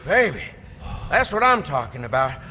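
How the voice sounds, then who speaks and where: A cocky, joking sidekick, voiced by a man, pitched fairly high and bouncing all over. An echoing space and steady noise sit behind him. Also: moderate pace. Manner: jokey, casual and brash. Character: wisecracking upbeat male companion. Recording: reverb with background noise.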